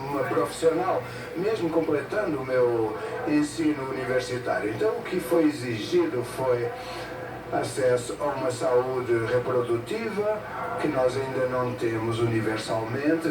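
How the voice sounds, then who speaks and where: Halting male interpreter in echoey hall. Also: mid-range voice. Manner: halting, delivered in short phrases with pauses. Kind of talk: simultaneous interpretation of a speech. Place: event hall with an audience. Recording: echoey hall from far off, loud music behind.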